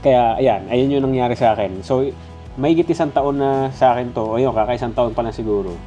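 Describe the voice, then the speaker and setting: A man's voice: medium pitch, a little fast, warm tone, muffled recording, faint music behind. Casual Filipino man with a stuffy nose. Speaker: product reviewer. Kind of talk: casual, conversational gadget review.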